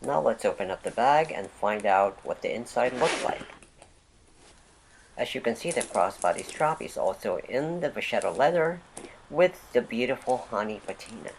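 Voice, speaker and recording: Raspy vlogger with a cold, noisy recording. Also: mid-range voice. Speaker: vlog host. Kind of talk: product unboxing narration.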